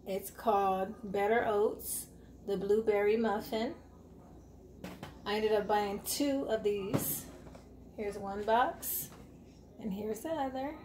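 Slow, chatty woman in an echoey kitchen. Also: mid-range voice, a little mellow. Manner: chatty, upbeat, addresses viewers directly. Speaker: vlogger. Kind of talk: casual grocery haul vlog. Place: home kitchen, indoors. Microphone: camera at arm's length. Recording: echoey kitchen, faint room noise.

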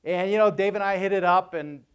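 Smooth higher-pitched man giving an informal talk. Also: brisk. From Vienna, Austria. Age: 40s. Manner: conversational, joking, often tags sentences with "right?". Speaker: seminar speaker. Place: hotel meeting room, on stage at a lectern. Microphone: lapel mic.

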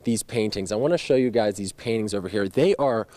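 A man's voice: fairly low pitch, brisk, dark tone, dry recording. A young man in his twenties reporting on location with a handheld mic in a large theater, upbeat and enthusiastic. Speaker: field reporter.

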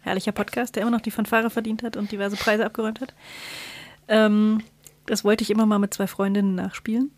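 A woman's voice: medium pitch, little pitch movement, fast, no echo. A woman co-hosting a podcast in casual, conversational talk. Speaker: podcast co-host.

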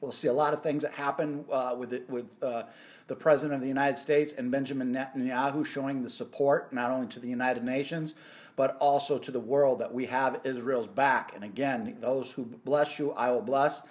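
A man's voice: medium pitch, fairly even intonation. Middle aged guy doing a bible prophecy talk into his phone at home, earnest, lots of uhs and restarts. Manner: earnest, halting, many "uh" restarts. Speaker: ministry host. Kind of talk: weekly Bible prophecy teaching. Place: indoor office or home room.

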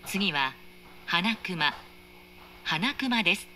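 A woman's voice: on the low side, lively intonation, very slow, pushed and bright. A woman reading scripted train announcements in a polite, formal manner, as heard inside a carriage. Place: train carriage speaker, train ambience behind. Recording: faint train noise, recorded inside carriage.

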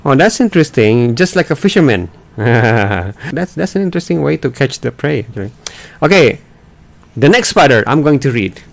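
A man's voice: mid-range voice, sing-song, moderate pace, creaky. A middle-aged man in a casual read-aloud chat with children, encouraging them, repeating things and prompting with questions. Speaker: host and parent reading with children. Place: small bedroom, indoors. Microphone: desktop condenser microphone.

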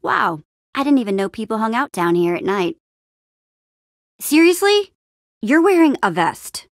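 A woman's voice: fairly high pitch, very animated, a little slow, no room sound, studio quality. A woman playing an upbeat, plucky young female adventurer in a video game, with an eager, wry and casual way of talking. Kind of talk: animated adventure game dialogue.

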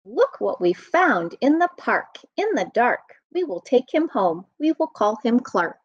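An American woman, around her thirties or forties, reading a rhyming children's book aloud in an expressive, sing-song way. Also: on the high side, unhurried, no room sound. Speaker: children's storytime reader. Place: room at home. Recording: dull, muffled webcam audio.

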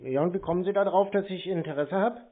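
A man with a fairly high-pitched voice speaks over a thin, tinny phone line. He is skeptical and persistent, pressing the other side with challenging questions. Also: quick pace. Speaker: prank callee confronting phone scammers. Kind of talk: phone conversation, probing questions.

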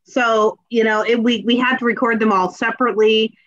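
A woman's voice: on the high side, fairly even intonation, fairly quick, a bit bright, dry recording. A young adult woman moderating a casual panel discussion over a video call. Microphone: computer mic on a video call. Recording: dull, dry video-call audio.

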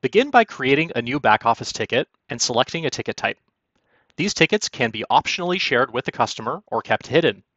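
Lively scripted male presenter, dry muffled recording. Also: mid-range voice, fairly quick, no echo. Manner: polished, instructional. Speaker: product demo presenter. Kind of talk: scripted narration for a software walkthrough. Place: quiet, dry room, recorded as voice-over.

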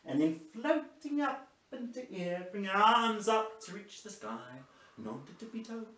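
A man's voice: sits high, very animated, normal speed, fairly bright tone. A young man presents to young children, giving playful, enthusiastic and encouraging instructions. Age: young adult. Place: living room, indoors. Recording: roomy, distant camera sound.

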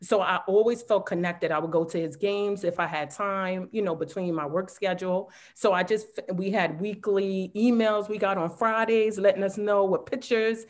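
A woman speaking as a parent on a school panel, warm and grateful with many fillers. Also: mid-range voice, fairly even intonation, normal speed, hardly any pauses. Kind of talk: panel discussion.

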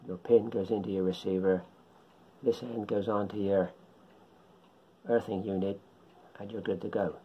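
A middle-aged man with a husky voice gives an informal beginners' tutorial, explaining haltingly in short phrases at home. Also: lowish voice, fairly even intonation, muffled recording, a little hiss or hum. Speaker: hobbyist radio instructor. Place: home living room.

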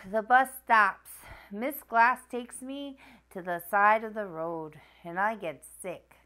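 A woman in her thirties hosting a children's storytime, reading aloud in an expressive, sing-song storytelling manner. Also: mid-range voice, slow pace. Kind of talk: children's picture book read-aloud. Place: small furnished room at a wooden table, indoors.

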